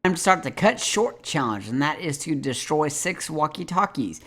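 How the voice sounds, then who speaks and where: A man's voice: fairly high pitch, big pitch swings, moderate pace, fairly bright tone. A man hosting a game guide, giving directive, step-by-step walkthrough commentary.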